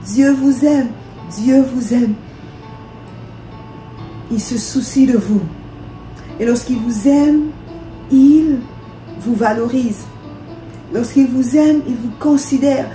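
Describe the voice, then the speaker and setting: A woman's voice: high voice, takes it slowly, pauses often. A woman from DR Congo, a minister, leading prayer with fervent, repetitive declarations. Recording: echoey room with soft music.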